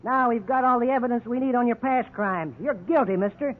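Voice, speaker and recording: High-pitched, bullying radio cop. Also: male voice, brisk. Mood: browbeating. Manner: gruff, browbeating. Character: bullying, hard-nosed male police officer. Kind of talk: 1950s radio drama acting. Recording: tinny, noisy 1950s radio recording.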